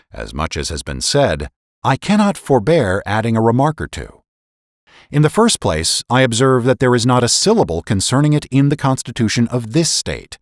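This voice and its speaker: A man's voice: lowish voice, no room sound, clean studio sound. A man narrating a historical political essay as a dramatic reading, formal and oratorical, with emphatic phrasing. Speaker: podcast host and narrator.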